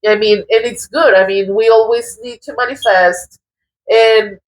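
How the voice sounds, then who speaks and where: A woman from Colombia with a Colombian accent, giving a casual tarot reading in a halting, thoughtful way, often restarting her words. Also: on the high side, fairly even intonation, poor audio. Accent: Colombian Spanish. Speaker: tarot reader.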